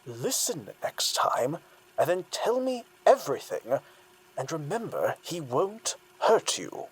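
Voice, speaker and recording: An actor playing a cold, insistent male therapist in an audio drama, asking clipped questions in a breathy, husky voice. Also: fairly high pitch, wildly swooping pitch, takes it slowly, heavily acted, low-quality recording. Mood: stern, insistent.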